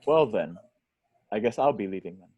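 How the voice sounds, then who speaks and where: A man's voice: fairly low pitch, lively intonation, normal speed, mellow, husky, dry recording, dull audio. A man playing an irritable, bossy Hollywood producer in an amateur table reading of a horror script. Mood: sarcastic, resentful.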